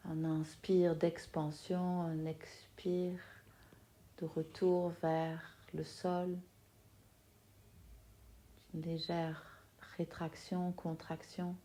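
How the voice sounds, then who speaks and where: A woman with a low-pitched, mellow voice guides a relaxation practice. She speaks very slowly and softly, in short phrases with long pauses. Her manner is soothing throughout. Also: level tone, a little hiss or hum. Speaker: yoga nidra teacher guiding a relaxation. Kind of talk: guided meditation.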